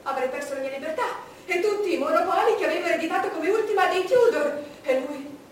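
An actress playing an imperious, vain, solitary English queen in a declamatory stage monologue with dramatic pauses. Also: very high-pitched, animated, far from the mic. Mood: proud, reflective. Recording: noisy, echoey stage recording from afar.

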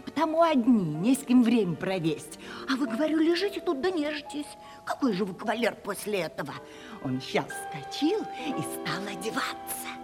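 An actress playing a sly, obsequious, gossiping maidservant with folksy phrasing in a Russian radio play. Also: female voice, on the high side, very animated, moderate pace, over music. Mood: sly, coaxing. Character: fawning, gossiping female servant of a lady.

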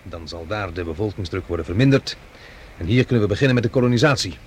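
An actor playing an optimistic colonist in a radio drama, brisk and matter-of-fact. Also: male voice, lowish voice, expressive, slightly rough. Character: optimistic male Mars colonist and colleague. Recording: faint background noise.

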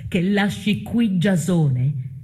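Slow, solemn tragic chorus. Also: female voice, lowish voice, expressive, warm tone. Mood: solemn, accusing. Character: solemn chorus narrator denouncing a dangerous foreign sorceress. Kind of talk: solemn classical tragedy declamation.

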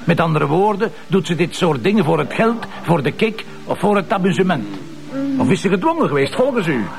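Gravelly, animated Flemish police commissioner. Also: male voice, sits high, a little fast, exaggerated voice. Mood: lecturing, irritated. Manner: blunt, colloquial, hectoring. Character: gruff, sarcastic, short-tempered male police commissioner, colloquial and blunt. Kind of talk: naturalistic TV crime drama acting.

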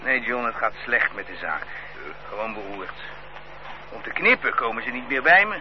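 A man's voice: mid-range voice, very animated, moderate pace, close to an everyday voice. An actor playing a worn-out 57-year-old barber who grumbles that his trade is dying, in a radio drama. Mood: gloomy. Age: 50s. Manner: complaining, sighing chatter. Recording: dull old radio play recording with hiss.

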